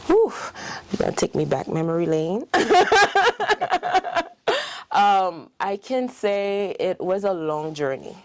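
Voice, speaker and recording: A woman's voice: medium pitch, very animated, slow, dry recording. A middle-aged Haitian woman whose first language is French, speaking in a Haitian French accent as a dentist and clinic owner in a casual interview, telling her story with many fillers and restarts.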